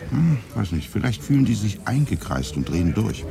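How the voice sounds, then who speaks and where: Deep-voiced, wry local man. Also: big pitch swings, normal speed, creaky, a crowd in the background. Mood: confiding. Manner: dry, casual muttering with sudden emphatic protests. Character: gruff, wry local man, minder to a radio reporter. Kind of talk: naturalistic radio drama acting.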